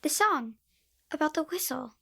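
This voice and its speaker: Very high-voiced wary little girl. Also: expressive, normal speed, fairly bright tone, dry recording, studio quality. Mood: plain, defensive. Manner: terse, simple sentences. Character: wary young girl, plainspoken and eerie. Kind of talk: audio drama acting.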